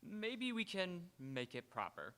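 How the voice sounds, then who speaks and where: A man's voice: high-pitched, wildly swooping pitch, slow, a bit bright, full character voice. A young man playing a candid, gallant, earnest suitor in live radio theatre. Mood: earnest, admiring.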